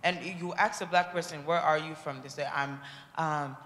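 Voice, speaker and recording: A woman's voice: low-pitched, fairly even intonation, fairly bright tone. A young woman with a Nigerian accent, speaking earnestly in a group discussion. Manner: earnest, halting with restarts. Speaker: discussion participant. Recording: echoey hall with faint noise.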